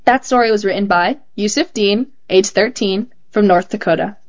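A woman reading a child's essay aloud as scripted narration, in a clear and measured storytelling manner. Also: mid-range voice, highs cut off. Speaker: host reading children's stories.

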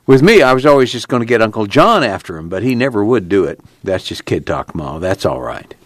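A young man on the run, weary but quietly resolute, voiced by an audiobook reader. He talks low and unhurried in plain rural speech, and his pitch rises and falls freely. The voice sits well below the reader's own. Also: big pitch swings, dry recording. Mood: wry. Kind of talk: audiobook character reading.

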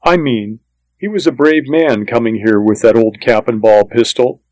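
A man's voice: mid-range voice, moderate pace, darkish tone, dry recording, low-quality recording. A man reading a first-person western story as scripted audiobook narration, in a plain, matter-of-fact manner.

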